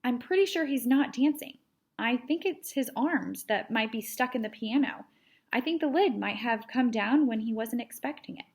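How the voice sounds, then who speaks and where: A woman's voice: fairly high pitch, lively intonation, normal speed, voice pushed up. Woman reading as a patient, sensible male cat. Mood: patient, hesitant. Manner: hesitant, careful explaining. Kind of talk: children's storybook character read aloud.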